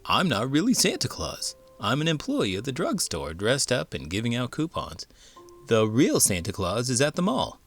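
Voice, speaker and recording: Man playing a grumpy, animated drugstore Santa. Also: medium pitch, normal speed, faint music behind. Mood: blunt. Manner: blunt, exasperated. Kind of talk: children's storybook read-aloud.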